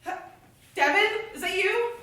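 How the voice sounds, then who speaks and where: A woman's voice: extremely high pitch, animated, measured pace, bright, forceful tone, exaggerated voice. An actress playing a frightened, suspicious woman in an audio drama, stammering and repeating her words in agitation. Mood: alarmed. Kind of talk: naturalistic audio drama dialogue. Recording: echoey room, distant from mic.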